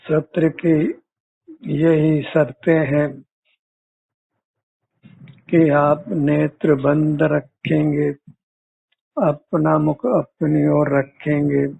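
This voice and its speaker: A man leading a guided meditation as a teacher, giving measured instructions with frequent pauses. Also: on the high side, vocal fry, breathy edge. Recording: muffled, phone-like narrow-band audio.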